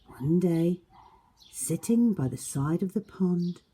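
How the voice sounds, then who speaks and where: Woman, lowish voice, very lively intonation, unhurried, husky, children's read-aloud, teacher addressing young kids. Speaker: schoolteacher reading stories to a class.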